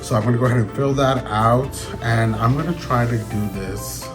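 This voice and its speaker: A man vlogging in a casual, rambling, joking way, his voice a little raspy and tired as he gets over an illness. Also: mid-range voice, fairly even intonation, moderate pace. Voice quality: slightly raspy. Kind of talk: casual vlog chat. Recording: background music.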